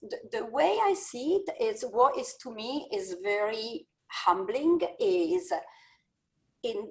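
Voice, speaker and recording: Italian woman dean, halting and thoughtful. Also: a little high, sing-song. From Italy. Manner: thoughtful, searching for words with many fillers. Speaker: business school dean. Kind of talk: panel discussion.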